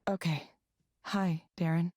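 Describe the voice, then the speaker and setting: An actress voicing a composed counselor in a video game, with measured, formal, scripted delivery. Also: female voice, on the low side, fairly even intonation, background noise. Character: composed female counselor reading an AI's script.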